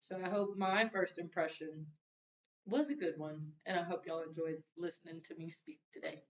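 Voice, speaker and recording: A young woman gives a relaxed, conversational introduction speech to her class. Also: normal speed. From Louisiana and Arkansas. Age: young adult. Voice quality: deep voice. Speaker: student. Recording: roomy, distant, dull mic with faint hiss.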